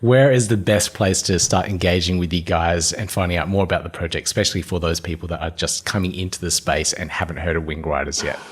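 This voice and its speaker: Upbeat Australian host with a very deep voice. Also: male voice, fast talker, few pauses, slightly rough. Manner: upbeat and enthusiastic. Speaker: podcast host. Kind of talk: casual interview hosting.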